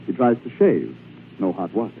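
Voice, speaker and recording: A man's voice: fairly low pitch, very animated, normal speed, vocal fry, narrow, tinny audio, slight background noise. An American man working as a radio announcer in the scripted style of the 1940s, on an old broadcast recording.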